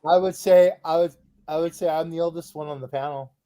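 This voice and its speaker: A panelist in their sixties chatting casually on a live show, in a deliberate, chuckling manner. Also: mid-range voice, fairly even intonation, dark tone, no echo. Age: 60s.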